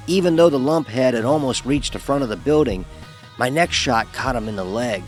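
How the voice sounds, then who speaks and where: A man telling a first-person horror story in a dramatic, expressive narrating style. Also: medium pitch. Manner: expressive, acts out dialogue.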